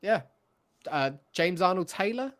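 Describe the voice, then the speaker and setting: A man chatting casually as a podcast host, animated and given to acting out bits of dialogue. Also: sits high, a little slow. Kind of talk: casual fan chat.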